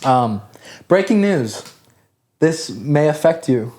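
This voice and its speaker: A man's voice: a little high, sing-song, slow, a little mellow. A young man co-hosting a podcast in casual chat, laid-back and rambling, full of "like" fillers. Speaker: podcast co-host.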